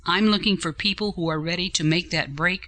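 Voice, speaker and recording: A woman playing a composed, principled starship captain in a science-fiction audio drama, speaking in a measured, articulate and authoritative way. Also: fairly low pitch, bright, forceful tone, dry recording. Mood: earnest conviction.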